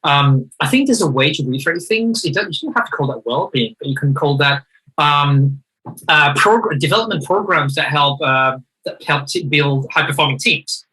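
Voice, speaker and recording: A man in a conversational discussion, talking in an animated, emphatic way and often rephrasing mid-sentence. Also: a little high, moderate pace, husky, poor audio. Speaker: guest speaker.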